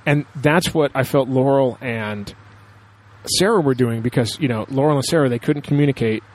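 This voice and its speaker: A man's voice: mid-range voice, moderate pace, rough recording. A man co-hosting a casual discussion show, talking in a rambling, enthusiastic, analytical way. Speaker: podcast host.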